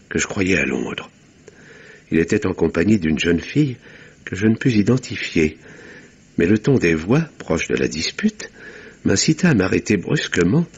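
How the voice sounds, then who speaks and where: An actor playing a weary, reserved country doctor who narrates a radio mystery in a measured, formal, literary way. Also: male voice, sits low, breathy edge, lo-fi sound, full character voice. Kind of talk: French radio mystery drama acting and narration.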